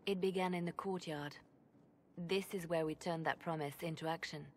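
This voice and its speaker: A woman's voice: on the low side, steady tone, normal speed, a little hiss or hum, natural voice. A woman playing a grim, composed adviser in a fantasy game, grave and matter-of-fact. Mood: grim, certain. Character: grim, composed female adviser giving dark warnings. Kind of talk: dramatic fantasy game dialogue.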